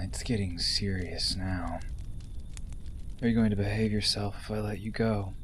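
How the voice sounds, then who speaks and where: A man acting a coldly taunting, possessively fond rebel with hushed, deliberate menace in an audio drama. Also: sits low, a little hiss or hum, lightly acted. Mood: threatening.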